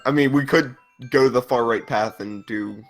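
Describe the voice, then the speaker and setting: A man's voice: mid-range voice, normal speed, faint music behind. A man co-hosting a live stream, chiming in with casual banter among friends. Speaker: stream co-host.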